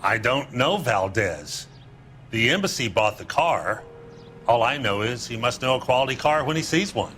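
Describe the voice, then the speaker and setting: An actor playing a slick, evasive 1940s American used-car dealer in a crime game, with smooth, defensive salesman patter. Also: medium pitch, lively intonation, normal speed, sharp tone, slight background noise. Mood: defensive, grudging. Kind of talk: dramatic game acting, period crime story.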